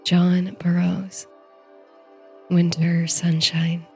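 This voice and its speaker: Slow, hushed woman narrating softly. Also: on the low side, completely flat delivery, faint music behind. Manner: soothing, hushed, breathy delivery. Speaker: host and narrator of a sleep story series. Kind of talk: soft scripted bedtime story and guided meditation narration.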